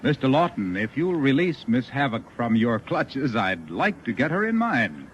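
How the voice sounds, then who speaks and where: A man's voice: medium pitch, sing-song, moderate pace. A man working as a 1940s radio announcer, delivering scripted advertising banter on a dull, noisy old recording.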